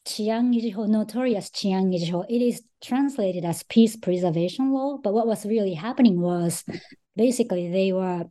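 A woman from Japan with a Japanese accent, speaking thoughtfully as an author in a podcast interview, with frequent pauses and fillers. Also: mid-range voice, mellow. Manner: thoughtful, with frequent "um" and "you know". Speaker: author guest, associate professor.

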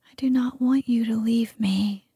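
A woman voicing a gentle, sorrowful female moonbeam spirit in a soft, pleading whisper. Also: a little high, a little slow, husky, no room sound, full character voice. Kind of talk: whispered ASMR storytelling.

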